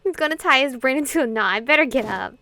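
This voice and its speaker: A woman's voice: high voice, lively intonation, fast, gravelly, bright, forceful tone, slight background noise. A young adult woman playing a visual novel, reading dialogue and narration aloud expressively with casual, giggly reactions. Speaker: gaming content creator. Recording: game music behind the voice.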